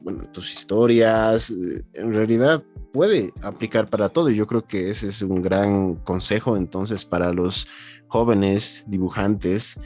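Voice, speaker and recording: Male podcast interviewer, lowish pitch, affirming and wordy chat over faint music. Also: measured pace, darkish tone. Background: faint background music.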